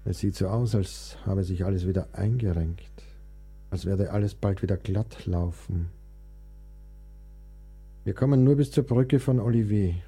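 An actor playing a proud, wounded 19th-century composer in a German radio play, with a theatrical, formal literary delivery. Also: male voice, low voice, measured pace, pauses often, mellow, husky, full character voice. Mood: sombre recollection.

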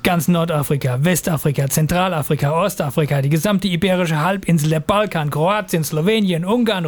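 A man's voice: fairly high pitch, close to an everyday voice. A man playing a fanatical Islamist recruiter in an audio drama, preaching in a solemn, declamatory way. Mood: solemn, promising. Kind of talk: scripted audio drama monologue.

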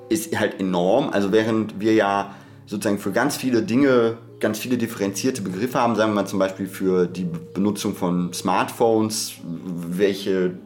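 Man, lowish voice, podcast host, analytical conversation, discursive, rephrases while thinking aloud, faint background music. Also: a little mellow.

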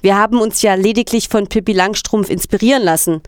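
A woman's voice: medium pitch, a little fast, a little mellow, clear, resonant tone, no room sound, studio quality. A woman reading out scripted inserts as a voice-over. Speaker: voice-over reader of quotes and web addresses. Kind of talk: scripted read-out.

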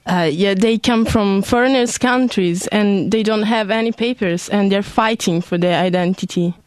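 A woman's voice: mid-range voice, moderate pace, no echo, close to an everyday voice, poor audio. A woman playing an easygoing young woman in a live radio play, speaking simple broken English with a non-native accent. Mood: casual, helpful. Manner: casual, simple broken phrases.

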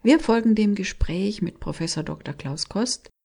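A woman hosting a radio show, reading calm and clear summaries and introductions. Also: medium pitch, normal speed. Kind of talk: scripted radio moderation.

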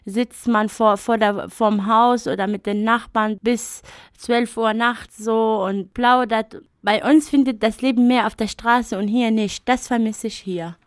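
Woman, Arabic native speaker, fairly high voice, thoughtful with fillers, dry close sound. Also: steady tone, unhurried, dry recording. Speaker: interview guest. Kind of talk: radio interview, personal account.

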